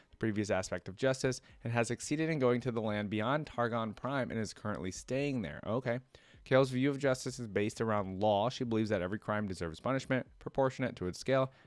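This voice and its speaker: Guy with a lowish voice reading stuff out for a reaction vid, chatty little asides, really dry room. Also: no room sound. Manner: interjects short asides to himself while reading. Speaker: reaction video host. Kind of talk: reading trivia aloud with casual off-the-cuff reactions.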